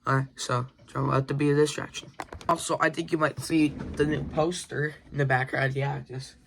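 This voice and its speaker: A man hosting a casual guessing-game vlog, informal and excitable. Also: mid-range voice, normal speed, gravelly, a little hiss or hum. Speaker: video host.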